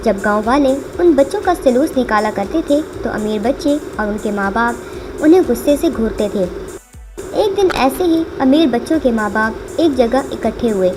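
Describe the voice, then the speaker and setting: Female storybook narrator, high register, scripted read over a music bed. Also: animated, moderate pace. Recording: music behind the voice.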